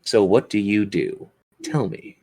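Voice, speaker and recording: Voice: low voice, animated, a little slow, darkish tone. A game master running a tabletop roleplaying game, narrating scenes in a theatrical, suspenseful way.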